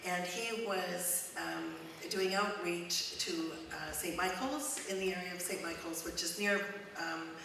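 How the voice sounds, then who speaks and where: A woman speaks to a congregation in a loud, friendly, unhurried way, pausing on fillers. Her voice sits a little low. The recording is roomy and echoey, picked up from across a church hall with noise in the background. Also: creaky. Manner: warm, conversational, with frequent ums. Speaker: guest speaker, charity executive director. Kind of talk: informal talk to a church congregation. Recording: echoey church hall, mic at a distance, noisy.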